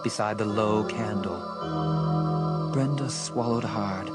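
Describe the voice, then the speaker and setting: A man's voice: on the low side, over loud music. A man narrating a horror audiobook in a dramatic, suspenseful scripted style. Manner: suspenseful, deliberate emphasis.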